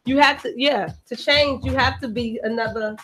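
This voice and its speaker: A woman with a fairly high voice speaks as an actress guest. Her chat is casual and heartfelt, and she is emphatic, circling back on phrases to stress them. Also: moderate pace, slight background noise. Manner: animated, emphatic, repeating herself for emphasis.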